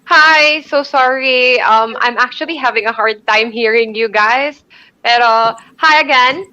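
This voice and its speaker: A woman's voice: a little high, a little slow, bright, forceful tone, dry recording, muffled recording. A woman in her twenties with a Filipino accent, a pageant candidate answering casually and cheerfully over a video call, a bit hesitant. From Philippines. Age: 20s. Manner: cheerful, laughing, slightly hesitant.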